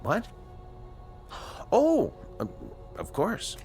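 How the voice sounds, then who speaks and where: A slow, expressive, earnest scientist. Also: male voice, high voice, faint music behind, exaggerated voice. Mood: nervous, accommodating. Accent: American. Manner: measured, articulate, questioning. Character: earnest scientist, educated, patient, morally probing male doctor. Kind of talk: radio drama acting.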